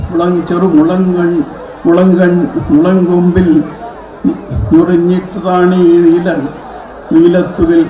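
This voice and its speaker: A man reciting poetry live at a community event, in a measured, chant-like delivery with halting, repeated phrases. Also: fairly high pitch, mellow. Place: echoey event hall. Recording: echoey hall with audience noise.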